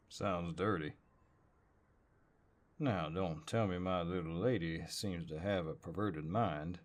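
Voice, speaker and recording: Guy playing flirty cowboy neighbor, real deep southern drawl, easygoing n teasing, audio roleplay. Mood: playful, flirtatious. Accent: American Southern. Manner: folksy drawl, playful and unhurried. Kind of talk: intimate roleplay audio acting.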